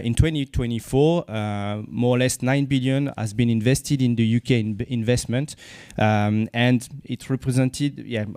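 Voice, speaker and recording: A man with a French accent giving a conference presentation as a company CEO, speaking haltingly with many fillers and restarts. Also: medium pitch, darkish tone, no echo, clean studio sound. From France. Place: conference venue.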